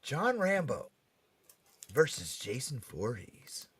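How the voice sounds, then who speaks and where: A man hosting a pop culture talk show, bantering with the panel in an excitable, emphatic, teasing way. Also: medium pitch, slow pace, husky.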